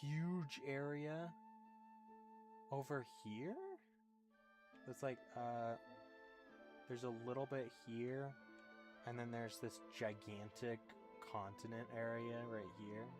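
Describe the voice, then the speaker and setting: A man's voice: mid-range voice, steady tone, slow pace, pauses often, over music. A man giving casual, rambling gameplay commentary with bemused reactions as he streams a video game.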